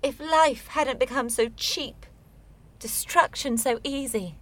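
A woman's voice: high-pitched, slow pace. An actress in a radio play voicing a blunt, bitter woman burdened by family guilt, with a sardonic, probing manner. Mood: pensive.

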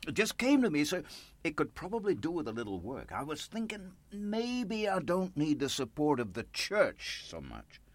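An actor in a radio play playing a proud, volatile, destitute storekeeper of sixty-eight who swings between indignation and despair. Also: male voice, fairly high pitch, wildly swooping pitch, normal speed. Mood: excited, eager.

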